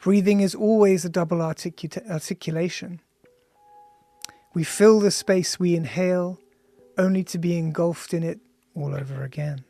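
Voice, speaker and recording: High-pitched, creaky-voiced man reading an audiobook. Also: fairly even intonation, normal speed, warm tone. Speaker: author reading own audiobook. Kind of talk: scripted audiobook narration. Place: studio. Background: music.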